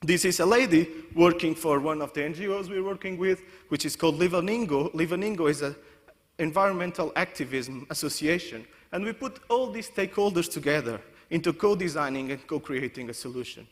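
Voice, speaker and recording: A man from Portugal giving a conference presentation, often restarting and correcting himself mid-sentence. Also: on the high side. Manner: halting, many restarts and self-corrections. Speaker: conference speaker. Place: conference hall. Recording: long hall reverb.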